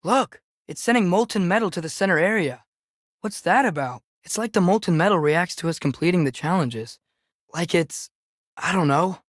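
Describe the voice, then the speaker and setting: A man's voice: sits high, no echo, clean studio sound. A young man playing an eager, earnest, curious teenage boy, a companion in a video game, fighting beside his father.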